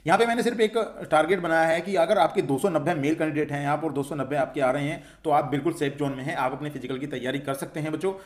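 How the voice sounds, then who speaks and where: A man's voice: fairly high pitch, fairly even intonation, fast talker, few pauses. A man coaching students in an informal explainer, repeating himself and answering his own questions reassuringly. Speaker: exam-update coach and channel host. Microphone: voice-over for a screen recording.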